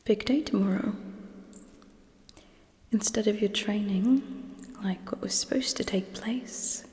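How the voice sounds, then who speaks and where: A young woman playing a cold, scheming alien queen in an ASMR roleplay, speaking in an imperious, condescending, hushed way. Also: medium pitch, takes it slowly, close to an everyday voice. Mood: imperious, composed. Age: young adult. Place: small indoor set with draped backdrop. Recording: echoey reverberant close whisper.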